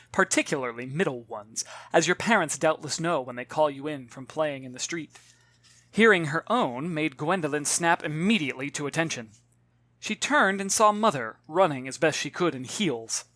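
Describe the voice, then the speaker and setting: An expressive audiobook narrator with a middle-pitched voice. The delivery is dramatic and carefully articulated, turning wry in the asides. The sound is very dry. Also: dry recording. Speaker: author reading own novel.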